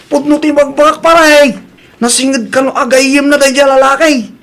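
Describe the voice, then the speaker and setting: A woman's voice: high-pitched, steady tone, normal speed, a bit bright. A woman with a Filipino Ilocano accent playing a frank young friend bearing news in a comedy drama. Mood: earnest.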